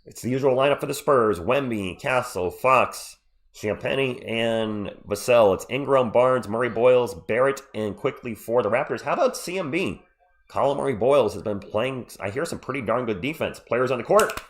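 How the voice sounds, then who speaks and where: A man's voice: mid-range voice, lively intonation, normal speed. A man who is sick with a cold, hosting a casual live play-by-play stream and chatting jokily with viewers. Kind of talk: casual sports commentary and chat with viewers.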